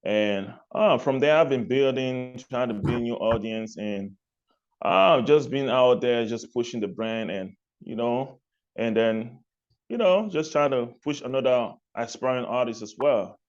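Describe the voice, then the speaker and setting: A man from Cameroon, answering interview questions in a relaxed way, repeating phrases and laughing lightly between points. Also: medium pitch, unhurried, dull audio. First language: not English. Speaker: guest, music artist and label executive.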